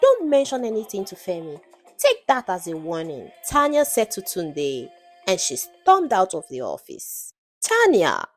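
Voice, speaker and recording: A woman narrating a scripted folktale in a dramatic storytelling style. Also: on the high side.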